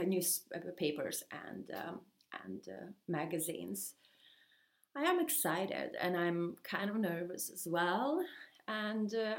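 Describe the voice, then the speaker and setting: A young woman speaking English with a Swedish accent, talking through a solo podcast intro in an eager, chatty way. She stops often for "um" and "uh" and restarts her sentences. Her voice sits at a medium pitch and has a noticeable gravelly fry. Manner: chatty and excited, many fillers and restarts. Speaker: podcast host.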